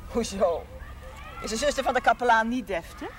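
An actress playing a touchy older village wife on noisy TV audio. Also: female voice, fairly high pitch, animated, moderate pace, close to an everyday voice. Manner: chatty, easily offended, then soothing. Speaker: shopkeeper's wife. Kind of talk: 1970s TV drama acting. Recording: noisy old TV broadcast audio.

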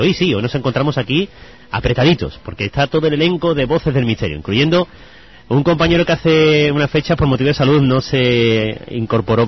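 A man with an accent from Spain and a congested, husky voice, chatting casually as a radio co-host. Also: mid-range voice. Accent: Spanish from Spain. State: congested with sinusitis. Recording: dull radio sound with music. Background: background music.

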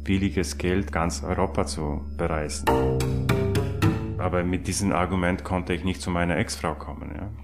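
Low-voiced man reflecting over music. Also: fairly even intonation, moderate pace. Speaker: interviewee. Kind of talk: reflective personal recollection in a documentary drama.

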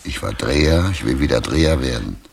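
An actor playing a gloomy, laconic, jobless middle-aged tramp in a German radio drama, with a very gravelly voice. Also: male voice, very deep voice, lively intonation, a little fast, full character voice. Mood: morose. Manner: laconic, morose. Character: gloomy freezing male Berlin tramp, jobless former lathe operator.

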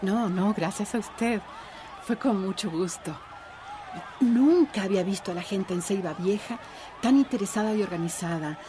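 A woman's voice: mid-range voice, normal speed, close to an everyday voice. Outspoken village woman over siren noise, played by an actress. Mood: gracious, wryly amused. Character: friendly, outspoken village woman activist, wryly amused. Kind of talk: radio drama acting, casual chat. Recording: siren and noisy ambience behind voice.

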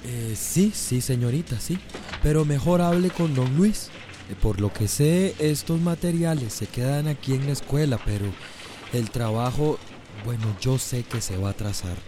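An actor with a Central American accent playing an evasive, hesitant workman in a radio drama, halting and repeating himself. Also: medium pitch, background noise, lightly acted. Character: evasive, hesitant workman hauling building materials.

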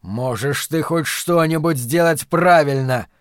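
A man voicing a sinister, commanding dark master who taunts and purrs with false tenderness in an audiobook. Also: a little high, expressive, normal speed, no echo, clean studio sound, close to an everyday voice. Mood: furious. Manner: imperious, taunting, swinging from roars to purring.

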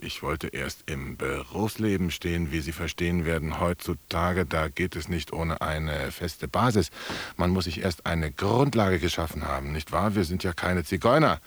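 Low-voiced, evasive young doctor. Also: male voice, breathy edge. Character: Pasch, young male assistant doctor, evasive and formal. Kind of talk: German radio drama acting.

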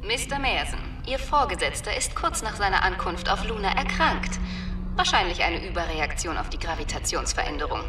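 An actress playing a polite, businesslike receptionist on a call in a German radio drama. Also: female voice, lowish voice, sing-song, brisk. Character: smooth, polite female company receptionist. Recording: distant echoing call, music behind.

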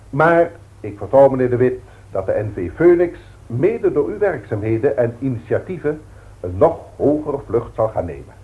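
An actor with a Dutch accent playing a formal, long-winded company director in a 1960s radio drama, speaking in measured, pompous speeches. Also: male voice, medium pitch, natural voice. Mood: ceremonious. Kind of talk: 1960s radio drama acting, boardroom address. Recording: thin phone-like old radio recording.

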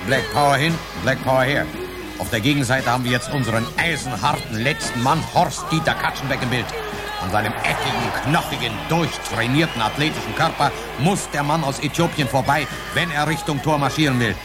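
A man's voice: medium pitch, brisk, loud music behind the voice, natural voice. A man playing an opinionated, sceptical football co-commentator in a radio drama, speaking emphatically and boastfully. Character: opinionated, sceptical male football pundit championing Bavarian defenders.

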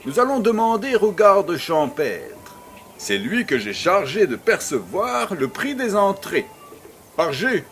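An actor playing a jovial, pleasure-loving baron in an audio drama, with a grand, amused and urbane manner. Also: male voice, high voice, wildly swooping pitch, takes it slowly, a bit bright, slight background noise, full character voice.

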